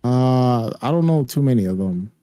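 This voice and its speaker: A man's voice: mid-range voice, expressive, normal speed, dark tone, no room sound. Blunt, slangy young man, a native Spanish speaker. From raised by a Spanish-speaking family, living in the US. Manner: blunt, slangy, swears freely. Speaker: gaming streamer. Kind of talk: casual streaming chat.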